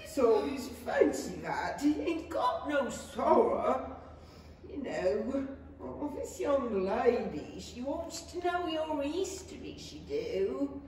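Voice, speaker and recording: A woman's voice: high voice, sing-song, slow, darkish tone, a little hiss or hum, full character voice. A woman playing a jolly, uneducated, scoffing griffin in a 1930s-style radio play, folksy and chuckling, in an echoey hall. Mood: gruff teasing. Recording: very echoey hall, distant mic.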